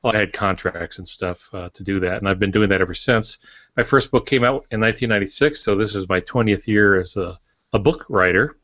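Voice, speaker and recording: An older man chatting reflectively as a podcast co-host, unhurried and hesitant with frequent pauses. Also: lowish voice, a bit bright, dry recording. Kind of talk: casual reflective storytelling. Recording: dry but dull lo-fi audio.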